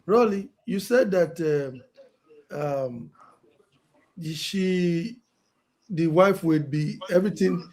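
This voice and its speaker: A man's voice: on the high side, slow pace, long pauses, darkish tone. Probing man questioning a panelist. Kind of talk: panel debate.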